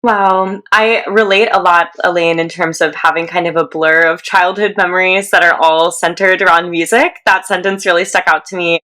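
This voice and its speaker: A woman co-hosting a podcast, interviewing a guest in a warm, encouraging way. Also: fairly low pitch, steady tone, moderate pace, hardly any pauses. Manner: warm, encouraging, reading a formal introduction. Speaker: podcast co-host.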